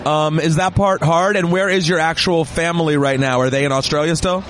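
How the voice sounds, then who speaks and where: A man's voice: a little high, steady tone, fairly quick, fairly bright tone, slight background noise. A man hosting a casual interview, rambling and restarting his questions. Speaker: podcast host. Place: busy fan event.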